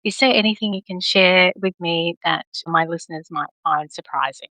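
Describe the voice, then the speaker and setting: An Australian woman hosting a podcast in a friendly, easygoing way, laughing along with her guest. Her voice sits slightly low but sounds bright, recorded close and dry. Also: normal speed, no room sound, rough recording. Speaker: podcast host. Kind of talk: casual interview chat.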